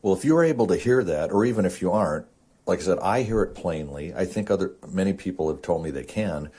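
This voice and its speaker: A man's voice: deep voice, expressive, quick pace, dark tone, slight background noise. A man hosting an amateur audio show, talking to listeners in an informal, earnest, rambling explanatory monologue.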